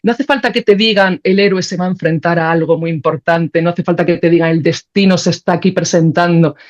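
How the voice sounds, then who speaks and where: A woman's voice: fairly low pitch, steady tone, brisk, a little mellow, highs cut off. A woman with an accent from Spain, explaining ideas in a casual, colloquial conversation as a podcast guest, thinking aloud. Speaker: podcast guest, composer and sound creator.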